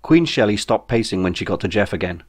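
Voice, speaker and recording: A man's voice: on the low side, animated, quick pace, darkish tone, breathy edge. A man reading a comic novel as scripted audiobook narration, in a wry storytelling manner. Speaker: author reading own novel.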